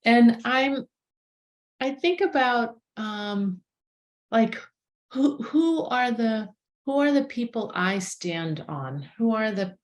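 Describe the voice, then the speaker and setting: A woman's voice: on the high side, lively intonation, slow pace. A woman in her sixties from inner-city Boston, a guest on a podcast, talking in a thoughtful, searching way with restarts and light laughs. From inner-city Boston, USA. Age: 60s. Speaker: podcast guest, herbalist and plant spirit medicine practitioner. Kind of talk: casual reflective conversation.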